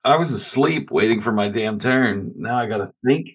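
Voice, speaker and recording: A man's voice: on the low side, expressive, normal speed, husky. A man playing a tabletop game in a casual, hesitant and slightly grumbling way.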